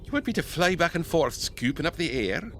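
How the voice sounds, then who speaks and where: Folksy airship captain, swooping delivery. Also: male voice, on the high side, big pitch swings, a little fast, slight background noise. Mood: doubtful. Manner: archaic sailor-like phrasing, matter-of-fact. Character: gruff, folksy male zeppelin captain. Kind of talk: audio drama dialogue.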